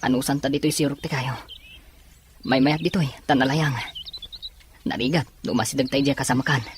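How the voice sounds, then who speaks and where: A man with a Filipino Ilocano accent playing a calm, resourceful island man in a drama. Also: mid-range voice, normal speed, lightly acted. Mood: matter-of-fact. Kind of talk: drama dialogue. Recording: noisy outdoor ambience with birds.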